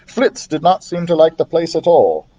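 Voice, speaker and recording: A man reading a children's adventure novel aloud as a library storyteller, in an expressive narrative style. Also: a little high, moderate pace, husky, dull audio.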